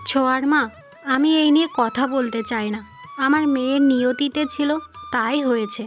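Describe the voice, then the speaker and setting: Woman playing cartoon village woman, high pitch, earnest, gentle manner, conversational, background music. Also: normal speed, natural voice. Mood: hurt. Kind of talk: Bengali moral-story cartoon voice acting.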